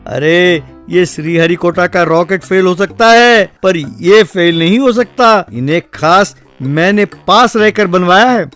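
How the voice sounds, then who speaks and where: A man's voice: high voice, measured pace, few pauses, fairly bright tone, faint music behind. A man voicing a cocky, secretive village uncle who brushes children off in a cartoon comedy story. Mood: boastful. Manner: colloquial, boastful, brushing children off.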